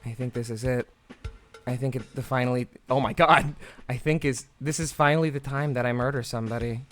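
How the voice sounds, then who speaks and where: A man giving casual let's-play commentary in an excitable way, full of profanity and laughing asides. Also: medium pitch, brisk, soft background music. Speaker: game streamer.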